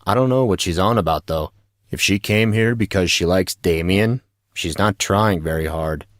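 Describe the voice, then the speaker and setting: A man plays a laid-back teenage schoolboy in an audio drama with a low voice. His talk is slangy, gossipy and flippant, and the recording is dry with no real room sound. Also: moderate pace, dry recording, lightly acted. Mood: flippant, casual.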